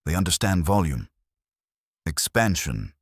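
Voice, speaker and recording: A man's voice: low voice, sing-song, a little slow, no room sound, clean studio sound, exaggerated voice. A man voicing an ambitious, evasive grown son of a family business in an audiobook mystery, with clipped, calculating speech. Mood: guarded.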